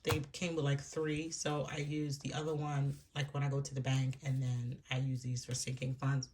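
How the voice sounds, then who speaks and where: Woman, stuffy nose, froggy voice, casual vlog chat, rambling, occasional hushed asides. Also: a bass voice, flat delivery, moderate pace, a little hiss or hum. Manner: rambling and chatty, with soft laughs and hushed asides. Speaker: budgeting vlogger. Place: room at home.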